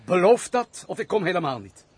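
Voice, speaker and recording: A man's voice: sits high, very animated, fairly quick, slightly rough, no room sound, heavily acted. A man acting an embittered, brooding nobleman in a Dutch radio play, with formal, declamatory old-fashioned diction. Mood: agitated. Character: brooding, embittered nobleman, weary of society, curt master.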